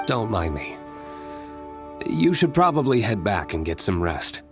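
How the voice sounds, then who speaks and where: A man's voice: lowish voice, big pitch swings, extremely slow, music in the background, heavily acted. An actor playing a reserved young nobleman in a fantasy video game, terse and dryly needling. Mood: pensive, terse. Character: reserved, dry young nobleman, master of a manor. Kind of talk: video game cutscene voice acting.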